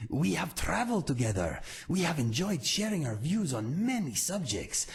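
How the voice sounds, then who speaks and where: A man voicing a gravelly cat-folk warrior. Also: fairly high pitch, normal speed. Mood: earnest. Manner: earnest, formal, calm. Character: loyal male cat-folk warrior, gentle and earnest.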